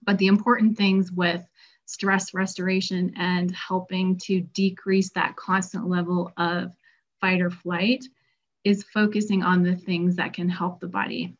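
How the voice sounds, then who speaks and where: A woman's voice: on the low side, flat delivery, measured pace. A middle-aged woman who works as a health practitioner, giving a conversational talk to patients about stress while losing her voice. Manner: conversational, acts out patient quotes. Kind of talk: informal health presentation. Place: Colorado. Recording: dull lo-fi recording.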